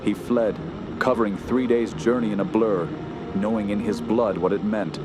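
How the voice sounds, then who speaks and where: A man's voice: on the low side, fairly even intonation, moderate pace, noisy background, close to an everyday voice. A man playing a somber storyteller, narrating a radio horror drama in a grave, literary manner.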